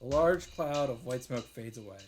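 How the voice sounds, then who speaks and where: A man reading a visual novel aloud as a streamer, in an emphatic, dramatic storytelling style with drawn-out pauses. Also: mid-range voice, a little hiss or hum. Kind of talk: dramatic narrated read-aloud.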